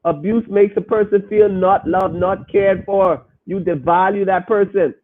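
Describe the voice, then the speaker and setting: A man with a high voice hosts a podcast in a casual, preachy way, talking straight at his listeners. His voice comes through thin and narrow, as if over a phone line. He stresses his points hard. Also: fairly even intonation, unhurried. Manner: emphatic, preachy, addresses listeners directly. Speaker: podcast host. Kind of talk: casual commentary, reading listener comments.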